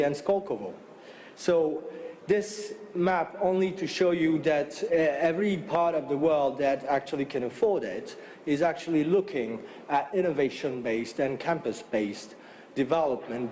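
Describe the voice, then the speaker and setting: A man in his forties with a French accent, giving a conference talk from a podium in a large hall, halting with many fillers and restarts. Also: medium pitch, darkish tone, a little hiss or hum. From France. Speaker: conference speaker. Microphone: podium microphone.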